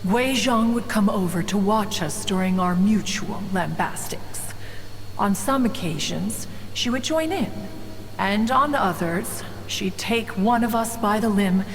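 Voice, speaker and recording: A woman's voice: medium pitch, lively intonation, measured pace, a little hiss or hum. A woman voicing a proud, ancient female immortal crane in a game, speaking in pompous, archaic, formal phrases. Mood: wry, nostalgic. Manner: pompous, archaic formal diction, refers to self as "one". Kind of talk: scripted game dialogue, theatrical storytelling. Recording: long hall reverb, music behind.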